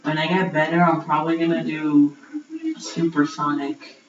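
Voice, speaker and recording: A man's voice: fairly high pitch, little pitch movement, moderate pace, echoey room, recorded from a distance, dull audio. A man streaming a game and chatting in a casual, offhand way. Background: game music.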